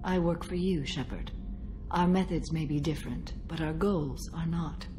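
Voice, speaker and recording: An actress playing a stoic, centuries-old alien warrior woman bound by a code in a video game, speaking in a measured, formal, solemn manner. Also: sits low, noisy background, lightly acted. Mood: calm, reserved. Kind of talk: video game dialogue acting.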